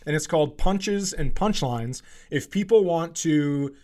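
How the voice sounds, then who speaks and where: A man with a slightly high voice hosts a casual comedy podcast. He talks informally, joking and swearing freely as he chats. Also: animated, a little slow, darkish tone. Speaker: podcast host.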